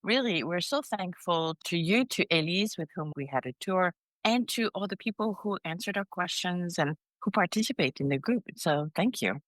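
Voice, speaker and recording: A woman in her fifties from France, a guest telling of her trip in a measured, grateful way. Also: on the low side, expressive, fairly bright tone, no echo, low-quality recording. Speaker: podcast guest. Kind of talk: conversational interview.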